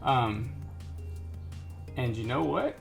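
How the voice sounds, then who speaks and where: A man's voice: mid-range voice, expressive, very slow, creaky. A man giving a casual hands-on product review, thinking aloud informally and repeating himself as he muses. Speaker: host of an art supply review series. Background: background music.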